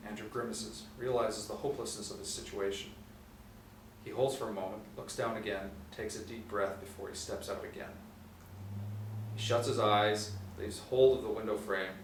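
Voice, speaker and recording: Low-voiced middle-aged man narrating in roomy space. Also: fairly bright tone. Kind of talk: scripted narration of screenplay action. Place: small indoor room, seated at table read. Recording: roomy, distant mic, noisy ambience.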